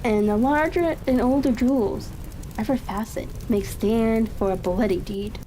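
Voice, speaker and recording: A woman calmly reading a classic mystery story in an unhurried ASMR style, sometimes halting over words. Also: fairly high pitch. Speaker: audiobook reader. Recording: steady rain-like crackling ambience behind. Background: fireplace crackle ambience.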